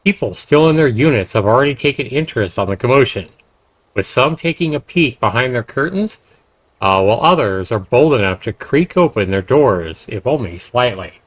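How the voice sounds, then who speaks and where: A man's voice: medium pitch, lively intonation, normal speed, no echo, rough recording. A man hosting a game livestream, reading narration aloud and dropping in casual comments. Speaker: livestream host.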